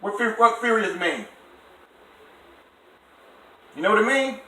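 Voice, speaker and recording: A high, bright-voiced man reads a bedtime story for a child. He is expressive and stops to quiz and explain words simply. The room echoes and the microphone sits at a distance. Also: a little slow. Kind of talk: animated read-aloud with explanations for a child.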